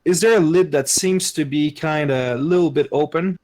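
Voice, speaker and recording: A man's voice: on the high side, moderate pace, darkish tone. A man playing a character in a tabletop game, eager, stumbling over his words. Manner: eager, stammers and repeats himself. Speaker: player. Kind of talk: casual in-character roleplay.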